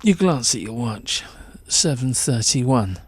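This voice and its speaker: A man's voice: medium pitch, sing-song, slow, husky, dry recording. A man narrating a suspenseful short story in the second person, with theatrical delivery.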